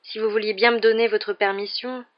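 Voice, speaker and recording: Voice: mid-range voice, flat delivery, a little fast, dull audio, voice pushed up, close to an everyday voice. A book narrator voicing an earnest, polite teenage schoolboy who is rather downcast. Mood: pleading. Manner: earnest, feigned casualness. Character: downcast adolescent schoolboy hero, polite but glum.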